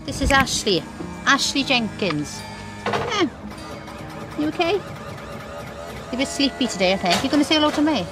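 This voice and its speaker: A woman carer gently coaxing and cooing to a sleepy boy in a sing-song voice. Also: high voice, sing-song, measured pace, long pauses. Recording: loud music over voice. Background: loud music.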